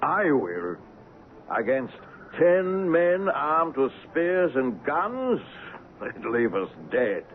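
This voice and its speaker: An actor playing an insolent, cynical assistant at a jungle trading post in a radio drama, needling and sarcastic. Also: male voice, a little high, sing-song, extremely slow, exaggerated voice. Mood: sardonic. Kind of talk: 1970s radio drama acting. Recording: muffled, noisy old radio broadcast.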